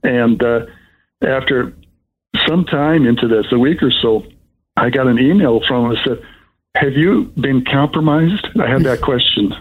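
An older man, sixties or above, giving an unscripted, rambling personal account as a caller, in a halting way. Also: medium pitch, slightly rough, phone-quality sound. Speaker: caller telling of being scammed.

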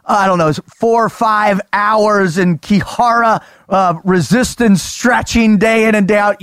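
An excitable man hosts a podcast, stacking up emphatic lines and showman flourishes. His voice sits high for a man, and the sound is close and dry. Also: no room sound. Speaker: podcast host. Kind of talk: energetic podcast banter.

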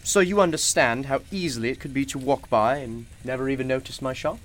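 A man's voice: mid-range voice, fairly quick, background noise, close to an everyday voice. A man playing a genial, mysterious old-fashioned shopkeeper in a radio play, with formal, courtly and unflappable phrasing. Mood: mysterious, persuasive.

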